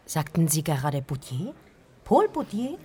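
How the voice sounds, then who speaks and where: A woman's voice: sits low, sing-song, measured pace, dark tone. An actress playing an alert, startled woman asking questions in a radio crime drama. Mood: startled, urgent.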